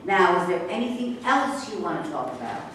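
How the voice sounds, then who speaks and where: A woman reading the part of a defiant, sharp-tongued racehorse trainer in a staged reading, combative and sarcastic. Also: lowish voice, very animated, normal speed. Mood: defiant, indignant. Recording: echoey room, distant mic, faint noise.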